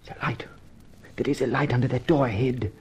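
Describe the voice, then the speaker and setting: An actor playing a shady foreign fraudster in a 1950s radio drama, speaking in formal, clipped phrases with questioning tags. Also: male voice, mid-range voice, fairly even intonation, a little fast, a little mellow, natural voice. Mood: hushed, eager. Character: shady foreign male fraudster, cautious yet ruthless. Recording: dull, noisy old radio recording.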